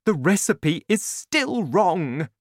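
A woman's voice: lowish voice, very animated, slow, no room sound, clean studio sound, pitched above the performer's own voice, exaggerated voice. A woman voicing a sly, scheming father in a storybook, coaxing and falsely sweet. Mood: disappointed.

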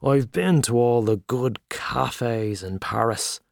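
A man voicing a boastful, hearty Irish journalist, talking loudly and expansively over drinks. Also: mid-range voice, slow pace, creaky, no echo, clean studio sound. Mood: boisterous. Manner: loud, expansive, back-slapping.

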